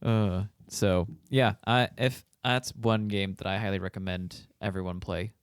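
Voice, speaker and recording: A slightly hoarse man co-hosting a casual podcast, throwing in deadpan jokes. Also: on the low side, unhurried, dry recording, clean studio sound. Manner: joking, deadpan one-liners. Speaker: podcast co-host. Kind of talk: casual chat.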